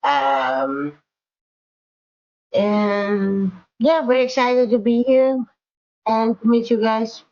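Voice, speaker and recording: A woman's voice: fairly high pitch, drawn-out words, long pauses, a little mellow, muffled recording. A woman introducing herself as a player, chatty and eager. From Greece. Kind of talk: casual self-introduction.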